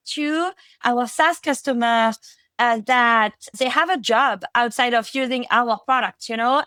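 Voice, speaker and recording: Guest voice: French-accented woman, thirties, higher pitch, dry close sound, chatty with lots of fillers. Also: a bit bright, no echo. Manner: chatty, many fillers and restarts. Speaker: podcast guest, product executive. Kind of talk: casual interview talk.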